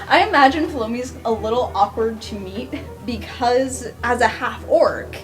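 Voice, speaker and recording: A woman's voice: fairly high pitch, unhurried, faint music behind. A woman chatting casually as a player in an improvised tabletop roleplaying game.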